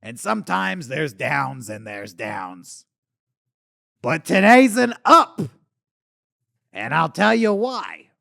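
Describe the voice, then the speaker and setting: A man plays a faded eighties alien celebrity with a raised, gravelly voice that swings wildly up and down. He rambles crudely and drunkenly, dry and close to the mic, nursing old grudges. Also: high voice, sharp tone, no room sound, heavily acted. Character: washed-up male eighties alien puppet star, boozy and bitter. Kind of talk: comedic character monologue.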